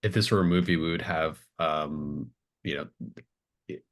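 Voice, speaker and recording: A man running a tabletop roleplaying game, narrating off the cuff in a halting way as he thinks aloud. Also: a bass voice. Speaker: game master.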